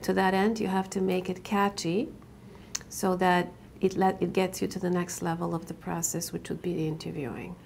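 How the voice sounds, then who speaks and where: A woman with a gravelly edge to her voice explains resume writing as a workshop instructor. She talks in a measured, instructive way and pauses on fillers now and then. There is a faint hum behind her. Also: on the low side, darkish tone. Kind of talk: interview explaining resume advice.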